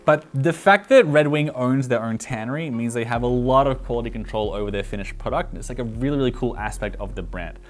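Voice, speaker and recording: A man in his thirties with an Australian accent, hosting a casual explainer with lots of filler words, speaking into a lapel mic at a studio table. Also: medium pitch. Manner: chatty, fast, lots of "like" fillers. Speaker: boot reviewer host. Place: bright indoor studio at a table.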